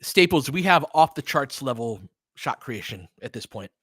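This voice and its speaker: A man giving informal, partisan live basketball commentary as host, excitable, with whoops and casual swearing. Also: medium pitch, moderate pace, slightly rough, dry recording, studio quality.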